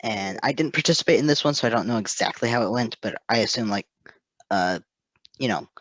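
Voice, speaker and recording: A man chatting casually in a halting, self-deprecating, giggly way. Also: lowish voice, fairly bright tone, dry recording. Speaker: co-host, fan fiction proofreader. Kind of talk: casual rambling chat.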